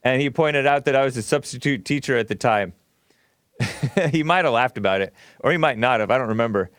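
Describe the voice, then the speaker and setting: A middle-aged man rambles through a casual monologue, correcting himself as he goes. His voice sits at an ordinary pitch and sounds smooth and resonant. It is recorded close and dry, with no room sound around it. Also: fairly quick, a bit bright, dry recording. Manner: rambling, with frequent fillers and self-corrections. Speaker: co-host of a stream.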